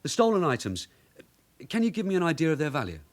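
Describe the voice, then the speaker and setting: A man's voice: fairly high pitch, huge pitch swings, quick pace. A seasoned London detective sergeant in a radio crime drama, streetwise and bossy. His London-accented delivery swings up and down, with clipped, commanding phrasing that suits a man used to giving orders. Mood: polite, official. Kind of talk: naturalistic British radio drama acting.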